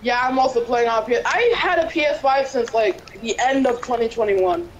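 Female gamer, slightly high voice, inquisitive, casual party chat. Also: highs cut off, a little hiss or hum. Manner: curious, asks quick questions.

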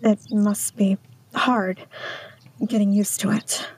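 A woman playing a reserved, uneasy small-town schoolteacher in an audio drama, speaking in a hesitant, hushed way. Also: mid-range voice, level tone, slow pace, breathy edge. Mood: uneasy, guarded. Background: music.

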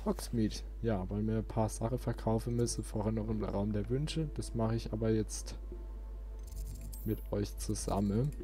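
A man's voice: mid-range voice, dark tone. A man giving casual let's play commentary as host of his channel. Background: game music.

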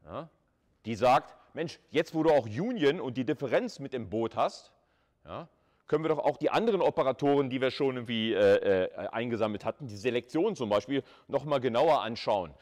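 A man's voice: mid-range voice, expressive, brisk, darkish tone. A man lecturing at a university in an informal, conversational way, thinking aloud and putting questions to students.

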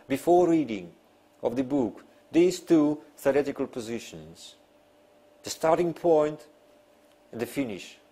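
A man in his fifties, a non-native English speaker, giving an academic lecture in deliberate, emphatic phrases with pauses. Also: mid-range voice, mellow, slightly rough. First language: not English. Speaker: university lecturer. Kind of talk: academic lecture, partly read from notes. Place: small classroom, seated at a desk.

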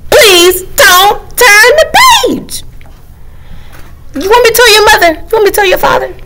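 Kobieta udająca spanikowanego, błagającego potwora z książki. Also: female voice, sing-song, measured pace, very noisy, full character voice. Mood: threatening. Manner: shrill, frantic, pleading. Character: frantic pleading blue book monster, male.

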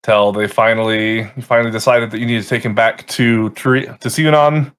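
A man's voice: mid-range voice, steady tone, brisk, fairly bright tone, clean tone. Man, game master, casual rambling recap, self-correcting, voice call mic. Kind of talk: recapping a tabletop game session.

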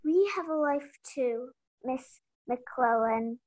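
Young woman as slow, high-voiced sly accomplice. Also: a little mellow. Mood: conspiring. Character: sly, deferential young female accomplice in crime.

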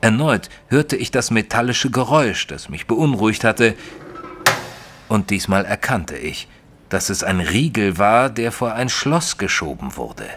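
An actor playing an educated, observant gentleman who narrates his own story in a formal, literary audio drama style. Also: lowish voice, big pitch swings, measured pace, noisy background. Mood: measured narrating. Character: male narrator Mister Tristram, educated, observant, easily flustered gentleman. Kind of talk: scripted first-person audio drama narration.

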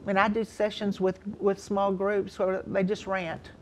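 A woman's voice: medium pitch, little pitch movement, unhurried, a little hiss or hum, poor audio. A woman in her sixties explaining things as a counselor and guest in a studio interview. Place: TV studio, seated indoors.

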